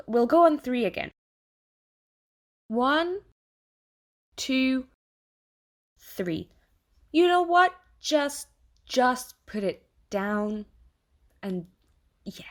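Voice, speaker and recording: A woman's voice: fairly high pitch, exaggerated voice. A woman playing an eager, awkward and apologetic AI assistant who lives inside someone's head, in an audio drama monologue. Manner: bubbly, awkward, hesitant, apologetic, over-reassuring. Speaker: AI personal assistant. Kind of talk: audio theatre monologue addressed to the listener.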